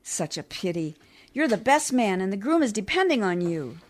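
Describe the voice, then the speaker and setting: Woman playing animated, plaintive radio housewife. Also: medium pitch, normal speed. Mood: pleading. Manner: plaintive, melodramatic. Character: pleading, flustered 1940s housewife. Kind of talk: 1940s radio comedy acting.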